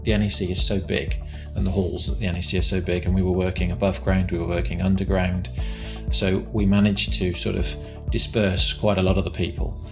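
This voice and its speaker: Man, very deep gravelly voice, flat delivery, big voice, plain-spoken, faint music behind. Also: fairly quick. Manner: rambling, repeats words and restarts, plain-spoken. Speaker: construction site manager. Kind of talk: casual interview answers.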